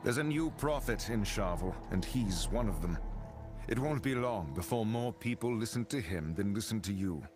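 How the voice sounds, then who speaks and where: Actor as deep-voiced, grim fantasy adventurer. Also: male voice, lively intonation, moderate pace, close to an everyday voice. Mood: urgent warning. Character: grim, blunt male adventurer warning of unrest. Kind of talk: fantasy game voice acting. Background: game music.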